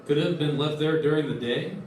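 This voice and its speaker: A man's voice: a little high, quick pace, a little mellow, close to an everyday voice. A man playing a dogged, wry insurance investigator in an old-time radio detective drama. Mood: probing. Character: Johnny Dollar, dogged male insurance investigator, wry and probing. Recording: echoey stage, distant mic, faint music.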